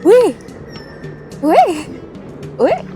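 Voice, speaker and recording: A woman's voice: very high-pitched, big pitch swings, drawn-out words. A woman game livestreamer giving casual reaction commentary as she plays. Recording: game music behind the voice.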